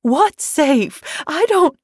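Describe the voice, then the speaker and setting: A woman's voice: sits high, lively intonation, drawn-out words, a little mellow, no room sound, clean studio sound, pitched above the performer's own voice, heavily acted. A woman voicing a terrified mother who pleads and weeps, desperate for her husband and children. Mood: terrified pleading.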